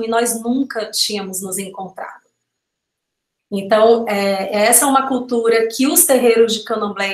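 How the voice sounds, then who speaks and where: Woman, fairly high voice, reflective, explanatory, video call, echoey room. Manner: reflective, explanatory, frequent tag questions. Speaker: panel speaker. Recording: roomy video-call audio.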